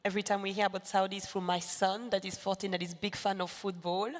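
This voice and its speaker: A middle-aged woman from the Democratic Republic of Congo, a foundation leader speaking earnestly on a panel, with hesitant pauses. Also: medium pitch, little pitch movement. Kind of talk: panel talk. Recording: long hall reverb.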